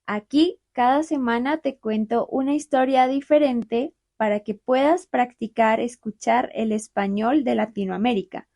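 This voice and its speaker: A young adult woman from Bogotá with a Colombian accent, hosting a friendly podcast for language learners in a calm, clear, unhurried storytelling way. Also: on the high side, no room sound, studio quality. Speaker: podcast host.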